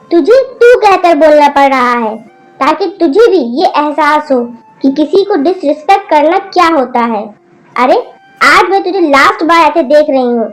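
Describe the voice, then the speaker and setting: A woman's voice: high voice, darkish tone. A woman voicing a stern older grandmother who lectures her rude granddaughter firmly. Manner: scolding, lecturing, firm.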